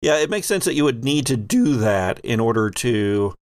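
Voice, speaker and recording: A man's voice: mid-range voice, big pitch swings, normal speed, no room sound. Man as conversational game master, dry close sound. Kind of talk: improvised tabletop game narration and rules talk.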